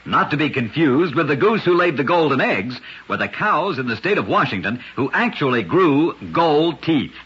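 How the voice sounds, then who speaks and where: A man narrating short scripted stories in an old-time radio style, with an emphatic showman delivery and a husky voice. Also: fairly high pitch, very animated, normal speed, few pauses, a bit bright. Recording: dull, lo-fi old radio recording.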